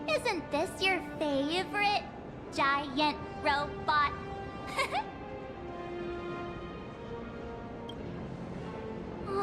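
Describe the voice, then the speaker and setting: Bubbly teenage girl adventurer, very high voice, animated and playful, anime game, music underneath. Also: exaggerated voice. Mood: playful, thrilled. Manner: exclaiming, playful, teasing. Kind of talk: anime-style video game voice acting. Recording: music behind the voice.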